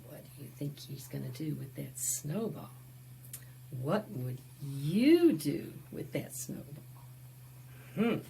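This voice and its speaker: Lady doing kids storytime, super expressive, slow pace, asks the kids little questions, bit of noise behind. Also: sits low, creaky. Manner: expressive, with asides and questions to young listeners. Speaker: children's storytime presenter at a public library. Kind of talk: read-aloud picture book storytime for preschoolers.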